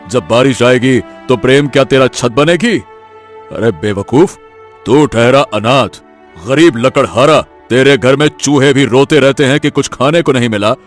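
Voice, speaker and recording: A man voicing a stern, scornful middle-aged rich village father in a cartoon story, scolding gruffly. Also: medium pitch, soft background music, natural voice. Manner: gruffly scolding, contemptuous, deliberate. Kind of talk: animated story voice acting.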